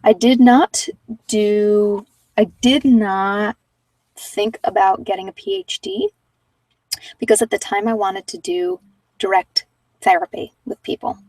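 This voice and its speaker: A woman's voice: medium pitch, darkish tone, dry recording. Hesitant, reflective woman, slightly distant mic. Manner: hesitant, reflective, rambling with pauses. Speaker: meditation guide and former social worker. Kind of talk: informal unscripted ASMR-channel talk.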